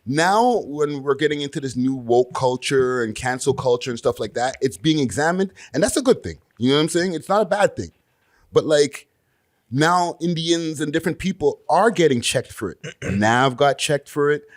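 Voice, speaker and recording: A man talking casually in a panel discussion, conversational and slangy, quick to laugh. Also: fairly high pitch, animated, dry recording, studio quality. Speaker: podcast panelist.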